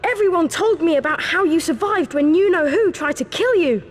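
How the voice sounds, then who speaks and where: An excitable young British boy in a video game. The high voice leaps around with eagerness and carries a long reverberant tail. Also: normal speed. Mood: awed, eager.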